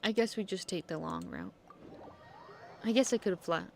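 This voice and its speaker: A woman's voice: on the low side, normal speed, vocal fry, darkish tone, slight background noise. A woman giving casual gameplay commentary as a streamer, talking to herself playfully and swearing casually. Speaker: game streamer.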